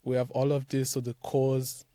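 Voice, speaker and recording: Young guy from nigeria chatting in an interview, lots of "like, man", mellow tone, dry close sound. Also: mid-range voice, normal speed, no echo. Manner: animated, loose, many fillers like "like" and "man". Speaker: startup founder, guest. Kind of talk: casual interview talk.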